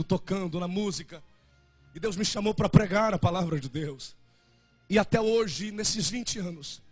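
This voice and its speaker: A man's voice: high-pitched, a little mellow, highs cut off. A young man in his twenties with a Brazilian Portuguese accent, preaching a fervent and emotional sermon as a pastor. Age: 20s.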